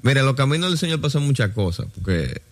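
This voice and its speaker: A man's voice: medium pitch, sing-song, fast. A man with a Dominican accent talking casually in a group discussion about faith, telling stories and asking rhetorical questions. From Dominican Republic.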